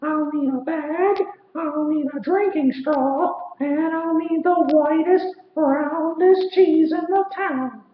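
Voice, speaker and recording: A man voicing a small, brave young male hero in a storybook, squeaky and determined. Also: takes it slowly. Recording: roomy, slightly dull recording.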